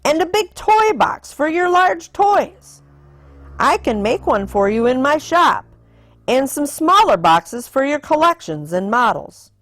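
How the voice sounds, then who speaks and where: A woman voicing a practical father bear from a storybook, firm and measured as he explains things. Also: high-pitched, big pitch swings, fairly bright tone, no echo, full character voice. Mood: helpful.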